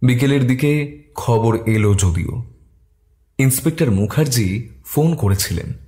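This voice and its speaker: A man narrates a detective story at a steady pace. His voice has a mellow, dark tone and a mid-range pitch. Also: a little slow.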